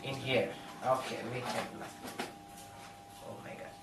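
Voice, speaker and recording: A teenage boy from Sri Lanka demonstrates a craft project, pausing often and speaking slowly. The room is very echoey with a long reverb. He is captured by a camera phone at a distance, with clear background noise. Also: medium pitch, level tone. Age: teens. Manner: simple non-native phrasing with pauses. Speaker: student presenter. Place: classroom indoors.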